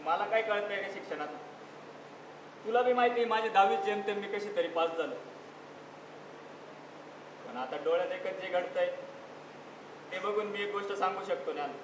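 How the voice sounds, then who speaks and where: Man playing a high-voiced humble rustic family man. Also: lightly acted. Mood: heartfelt, conciliatory. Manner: plain-spoken, heartfelt, deliberate. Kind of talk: live one-act stage play acting. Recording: echoey distant stage recording with noise.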